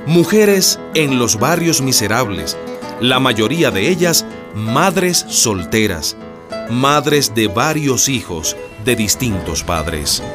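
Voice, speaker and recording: A man delivering measured, solemn scripted narration for a radio play in a declamatory way. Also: mid-range voice, big pitch swings, over music.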